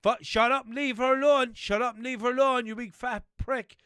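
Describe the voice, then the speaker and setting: A man voicing a bossy, short-tempered cartoon mother pig with a Limerick accent, loud and scolding. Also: very high-pitched, steady tone, brisk, smooth, no room sound, studio quality, pitched above the performer's own voice.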